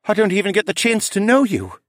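A man's voice: high-pitched, huge pitch swings, measured pace, no room sound, clean studio sound, pitched above the performer's own voice, exaggerated voice. A man voicing a nervous, self-doubting young male green dragon in a hesitant, emotional way for a fantasy audiobook. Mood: tearful.

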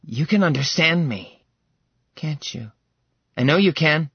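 A man's voice: expressive, measured pace, breathy edge, no room sound, lo-fi sound, heavily acted. A man voicing a spoiled, petulant young prince who whines and grows unhinged. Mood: obsessive. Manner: petulant, shrill whining.

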